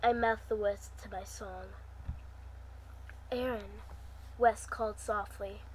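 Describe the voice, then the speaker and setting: A woman reading fan fiction aloud in an amateur narrating style, with a soft, hushed delivery. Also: mid-range voice, fairly even intonation, normal speed, a little hiss or hum, rough recording.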